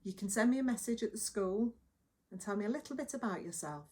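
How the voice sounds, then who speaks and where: A woman reading a children's story aloud as a nursery teacher, with dramatic emphasis and hushed moments. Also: medium pitch, animated, fast.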